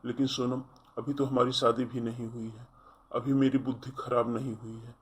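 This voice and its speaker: A man's voice: medium pitch, level tone, moderate pace, husky, natural voice. A man voicing a protective, defiant young brother, earnest and firm, in a melodramatic cartoon story. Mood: concerned, resolute.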